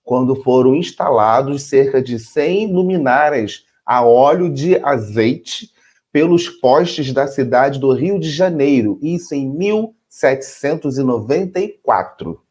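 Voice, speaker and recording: A man's voice: mid-range voice, lively intonation, unhurried, darkish tone. A man co-hosting a casual educational chat, teasing his partner and laughing often. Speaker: co-host.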